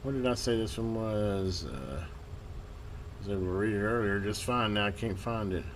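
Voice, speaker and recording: A man giving casual hands-on commentary as a hobbyist host, halting and muttering to himself as he works. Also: fairly low pitch, a little hiss or hum. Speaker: vintage radio hobbyist hosting a repair video.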